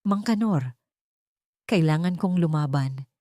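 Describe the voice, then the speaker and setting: Wronged young housemaid acted by a woman narrator, weak yet resolute, hushed, mellow and low. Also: expressive, takes it slowly, no echo, studio quality. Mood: quiet determination.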